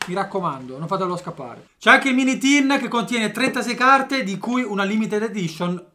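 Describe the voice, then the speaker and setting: A man in his twenties chatting excitedly as a football fan vlogger, slangy and repeating phrases for emphasis. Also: high voice, moderate pace. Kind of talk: excited vlog chat and live reaction. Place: sponsor segment in a room at home, then a busy football stadium. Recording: music and crowd noise behind.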